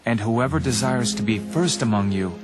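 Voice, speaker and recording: An actor playing a calm, authoritative male teacher in an audio Bible drama, speaking in a solemn, measured, earnest way. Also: medium pitch, natural voice. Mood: solemn, instructive. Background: background music.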